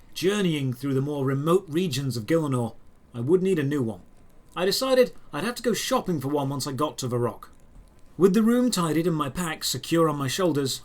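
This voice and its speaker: A man narrating a scripted first-person fantasy story in a measured, descriptive storytelling manner. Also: on the high side.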